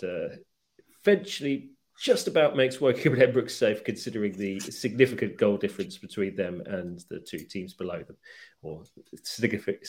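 A man hosting a casual football podcast, speaking in a measured way as he steers the chat and sums up results. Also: fairly low pitch, darkish tone. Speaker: podcast host.

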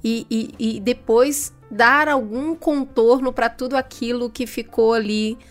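Woman podcast host, slightly high pitch, enthusiastic interviewer, faint music bed. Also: fairly even intonation, measured pace. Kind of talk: interview question.

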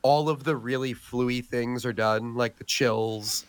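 A man with a hoarse voice from a cold, co-hosting a podcast in casual chat with many fillers. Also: medium pitch, fairly even intonation, measured pace, no echo. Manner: chatty, joking, many "like" fillers. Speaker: podcast co-host.

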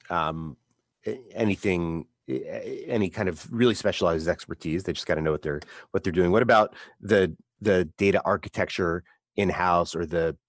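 Low-voiced hesitant male co-host. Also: no room sound, clean studio sound. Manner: hesitant, repeating words while asking.

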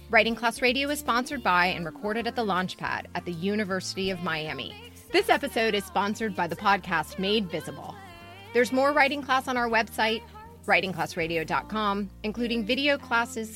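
Woman, podcast co-host, bright forceful tone, medium pitch, conversational announcing. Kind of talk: casual co-host chat and scripted show outro.

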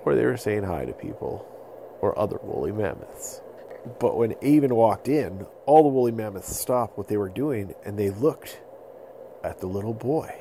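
A man telling an improvised bedtime story to children in simple, explanatory phrases with pauses. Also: fairly low pitch, expressive, warm tone, a little hiss or hum, low-quality recording. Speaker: podcast storyteller.

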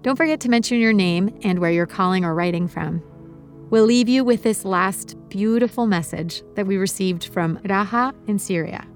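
A woman from Uruguay hosts a podcast and reads her script in a clear, composed voice at a middle pitch. Soft music plays under her words. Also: moderate pace. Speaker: podcast host and executive producer.

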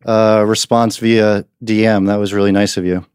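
A man hosting a podcast, chatting casually and interviewing guests in a relaxed conversational way. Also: fairly low pitch, clean tone, no echo, clean studio sound. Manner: quick, conversational, thanks guests. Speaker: podcast host.

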